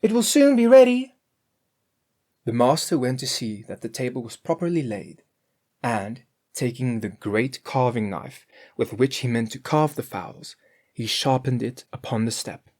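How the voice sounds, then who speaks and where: A storyteller reading a scripted fairy tale in an expressive, measured way. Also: medium pitch.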